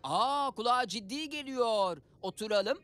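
Thoughtful young cartoon boy, adult actress: high, slow, gentle speech with loud music behind. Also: natural voice. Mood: calm, thoughtful. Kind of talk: animated children's series dub.

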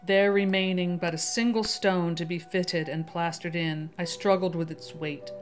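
A woman's voice: lowish voice, steady tone, normal speed. A woman storyteller giving a theatrical, ominous dramatic reading of a gothic story. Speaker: storyteller, channel host. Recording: music under the voice.

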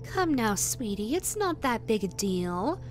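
A woman playing an obsessive, possessive yandere in a rabbit costume in an audio roleplay, cooing in a drawn-out way that swings from syrupy to threatening. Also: on the high side, sing-song, faint music behind. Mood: coldly casual. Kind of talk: dramatic audio roleplay addressed to the listener.

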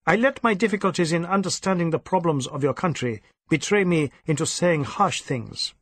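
A man's voice: a little high, moderate pace, a bit bright, no echo, pitched above the performer's own voice. A man voicing a big, earnest, apologetic Swedish man in an audiobook, speaking formally and with emphasis. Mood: contrite.

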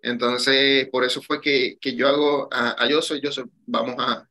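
A man's voice: mid-range voice, flat delivery, unhurried, pushed and bright. A man in his thirties, a cigar shop owner, talking casually over a video call from a small room, drawing out his phrases with many fillers. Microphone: webcam on a video call.

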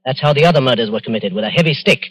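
Old-time radio role for a man: confident, well-bred Englishman, lively intonation with some rasp. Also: mid-range voice, racing, highs cut off, lightly acted. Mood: grave, urgent. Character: breezy, self-assured upper-class English gentleman.

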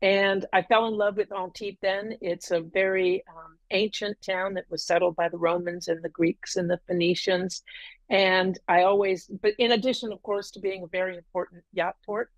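A woman's voice: medium pitch, steady tone, normal speed, dry recording, poor audio. Chatty woman on a video call. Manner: talkative storyteller, many ums and uhs. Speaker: guest. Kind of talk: casual interview. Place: room at home, green wall.

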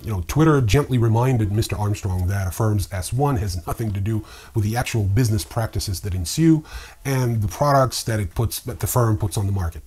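A man in his fifties with a husky voice, hosting a news commentary to camera with dry, sardonic humour. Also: lowish voice, fairly quick, a little mellow. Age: 50s. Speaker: host of a crypto newsreel. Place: small indoor study with bookshelves.

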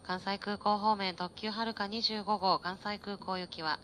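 A woman's voice: steady tone, measured pace, fairly bright tone. A woman train conductor reading scripted announcements over the train's PA. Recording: train PA with vehicle noise. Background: train rumble.

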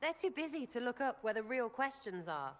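An actress with an English accent playing a cheeky, irreverent young elf archer in a video game, rambling in blunt slang. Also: female voice, a little high, sing-song, a little fast. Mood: cheeky, playful. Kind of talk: video game character acting.

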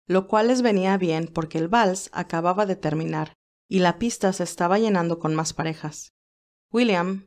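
A woman's voice: fairly low pitch, darkish tone. A woman narrator reading a scripted romantic story. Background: music.

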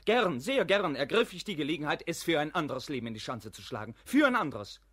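An actor playing a proud, brusque young knight templar in a classic radio play, curt and haughty. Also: male voice, a little high, big pitch swings, brisk, fairly bright tone, husky, no echo, studio quality, heavily acted. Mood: proud, scornful. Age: young adult.

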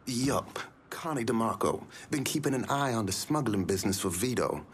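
A man's voice: lowish voice, expressive, normal speed, slight background noise, lightly acted. A man playing a wry, talkative smuggling informant in a video game, with a folksy, colloquial manner. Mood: wry, gossipy.

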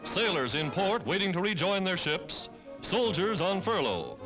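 A man's voice: high voice, big pitch swings, unhurried, low-quality recording. An American man reading scripted 1940s newsreel narration in a formal, declamatory manner. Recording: old 1940s newsreel soundtrack, loud music. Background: loud newsreel music.

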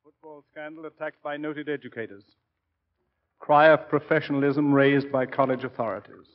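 An actor playing a brisk, serious colleague of a football coach, urgent and declarative in 1940s radio style. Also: male voice, fairly high pitch, steady tone, close to an everyday voice. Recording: muffled 1940s radio broadcast recording.

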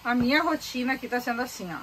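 A woman's voice: fairly high pitch, rapid-fire, noisy background. A woman, chatting to camera in a boat cabin. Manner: chatty, animated, gestures while talking. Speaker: vlogger. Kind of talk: casual chat to camera. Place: small cabin of a sailboat, indoors. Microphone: handheld phone camera at arm's length.

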